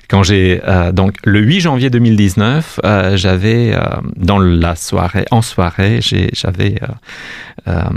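A man, a guest in a radio interview, speaking hesitantly with many restarts and soft laughs. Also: deep voice, creaky, no room sound, studio quality. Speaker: guest, cultural institute staff.

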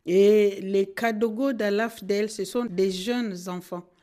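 A woman's voice: medium pitch, fairly even intonation, warm tone. Earnest woman recalling her past. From Democratic Republic of the Congo. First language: Kinande. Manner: earnest, measured, speaking from memory. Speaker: social worker and guest. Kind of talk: radio interview answers.

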